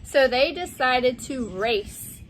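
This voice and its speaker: Woman teacher, high voice, slow storybook read-aloud delivery, slightly noisy recording. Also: animated. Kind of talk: animated children's story read-aloud with banter.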